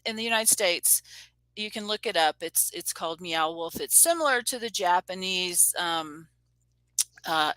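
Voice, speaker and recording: A woman's voice: on the low side, pushed and bright, clean tone, dry recording, rough recording. A woman hosting a casual online chat, rambling with many fillers and restarts. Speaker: show host. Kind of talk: casual video-call chat. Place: home room on a video call.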